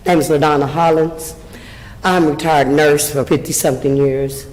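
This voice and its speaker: A woman's voice: low voice, fairly even intonation, normal speed, a little mellow. Strong-voiced older woman in an echoey hall. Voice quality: strong, carrying voice. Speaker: resident speaking at public comment. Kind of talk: impromptu public speech to a city council. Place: large indoor council chamber. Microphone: standing back from podium microphone. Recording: echoey public meeting hall.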